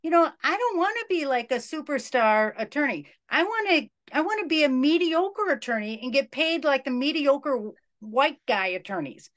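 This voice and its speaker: A woman chatting in a casual conversation, agreeable and quick to affirm. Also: high voice, big pitch swings, fairly bright tone.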